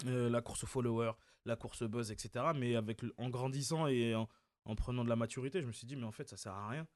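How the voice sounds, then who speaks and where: A man's voice: mid-range voice, steady tone, quick pace, darkish tone, no room sound. Chatty man in a dry room. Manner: loose and chatty, many fillers and restarts. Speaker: comedian and video creator. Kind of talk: relaxed interview talk.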